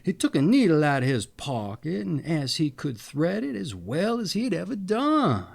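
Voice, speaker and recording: A man narrating a fairy tale in an expressive, deliberate storytelling manner. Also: fairly high pitch, creaky.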